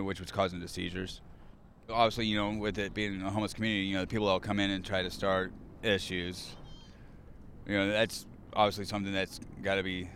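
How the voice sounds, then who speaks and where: A man's voice: low voice, fairly bright tone, slight background noise. A man, a camp security co-commander, giving matter-of-fact interview testimony outdoors.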